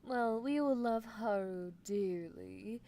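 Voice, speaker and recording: A woman's voice: on the high side, slow pace, warm tone. A woman voicing a playfully menacing, teasing female mad scientist in a roleplay ASMR stream, musing theatrically. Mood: teasing, chatty. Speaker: livestreamer. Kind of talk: roleplay ASMR livestream, answering chat in character.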